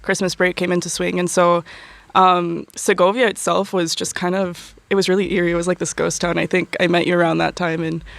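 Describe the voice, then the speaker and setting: Young woman with vocal fry. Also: lowish voice, little pitch movement, a little fast, dry recording. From United States. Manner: chatty, many fillers and laughs. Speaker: university student guest. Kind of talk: casual interview chat.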